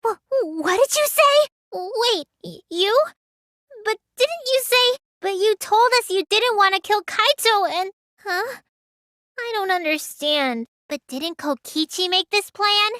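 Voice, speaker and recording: A woman voicing a small, childlike teenage girl mage in a video game, high and girlish, sulky and excitable with a whiny edge. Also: slow, no room sound, studio quality, exaggerated voice. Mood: startled, confused. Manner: whiny, stammers when startled. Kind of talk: English game dub voice lines.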